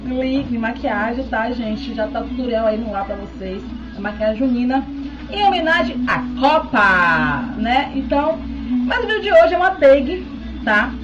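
A woman's voice: fairly high pitch, lively intonation, normal speed, highs cut off, noisy background. A woman in her thirties chatting casually to a webcam at home, keeping in touch with viewers as she answers questions. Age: 30s. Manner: chatty, keeps checking in with "tá?". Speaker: beauty vlogger. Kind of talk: casual chat to camera. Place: indoors at home, small room. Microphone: webcam close up.

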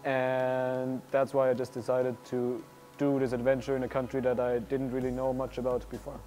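A young man with an Austrian German accent, speaking in a measured, articulate way in a documentary interview indoors. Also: mid-range voice, completely flat delivery, warm tone, soft background music. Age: young adult. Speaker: exchange student. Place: indoors by a large window.

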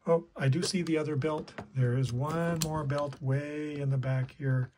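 A man's voice: fairly high pitch, fairly even intonation, unhurried, a little mellow, slight background noise. A middle-aged man giving casual hands-on tutorial commentary, thinking aloud in a tentative way. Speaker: repair video maker.

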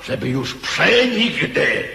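Radio drama: embittered visionary king, grandiose, gravelly, with sweeping intonation. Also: fairly high pitch, big pitch swings, slow, pushed and bright, slight background noise, full character voice. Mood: bitter. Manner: grandiose, declamatory. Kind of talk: theatrical radio drama acting. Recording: echoey hall with long reverb.

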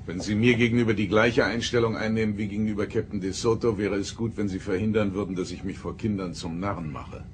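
Actor as a stern, low-voiced starship captain. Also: male voice, fairly even intonation, a little hiss or hum, natural voice. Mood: stern, probing. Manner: formal, stern, measured. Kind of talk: German audio drama acting.

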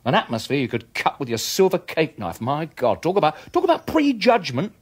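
Blustering, sarcastic English husband. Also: male voice, fairly high pitch, huge pitch swings, brisk, husky, full character voice. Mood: resentful, sarcastic. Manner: blustering, stammering, colloquial. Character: irritable, wheedling working-class husband, sarcastic and resentful. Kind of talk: 1980s British radio drama acting.

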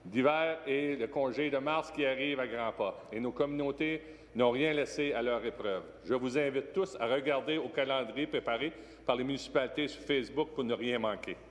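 A man's voice: mid-range voice, moderate pace. Middle-aged guy reading his statement in parliament, desk mic, big echoey hall. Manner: steady, read-aloud delivery. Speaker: member of the legislature. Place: large legislative chamber, indoors. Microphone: desk microphone. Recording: long reverb of a large chamber.

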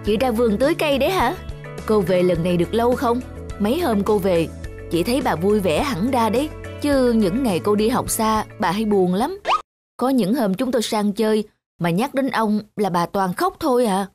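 A woman's voice: medium pitch, animated, normal speed, music in the background, natural voice. Woman playing a chatty, sympathetic neighbour lady. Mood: friendly, sympathetic. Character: friendly, sympathetic female neighbour.

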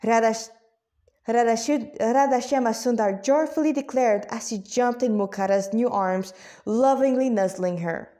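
A woman reading her own story aloud in an informal, expressive way, with casual asides. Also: a little high, moderate pace.

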